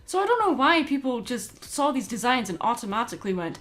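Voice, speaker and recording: A woman narrating a scripted film review as host, with an expressive, opinionated delivery. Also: on the high side, brisk. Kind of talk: scripted video essay narration. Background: background music under voice-over.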